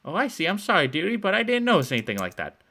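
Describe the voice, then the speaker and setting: A man's voice: high-pitched, huge pitch swings, racing, bright, forceful tone, voice pushed up, full character voice. A man voicing a sweet-sounding old woman of about sixty with a cooing, sugary manner. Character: sweet-talking grandmotherly old woman, sinister undertone.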